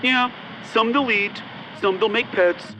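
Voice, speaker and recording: A man's voice: high-pitched, takes it slowly, sharp tone, full character voice. A man playing a flirtatious, swaggering air corps lieutenant in a comedic radio drama. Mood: cynical. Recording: dull, noisy aircraft radio.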